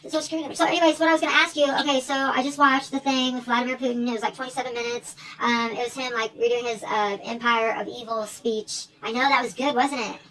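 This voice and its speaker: Fast, high-voiced rambling American woman. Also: fairly even intonation, a bit bright, poor audio. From United States. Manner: rambling, informal, jumps between asides, swears casually. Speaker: online video creator staging a phone call. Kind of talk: rambling one-sided phone chat to camera.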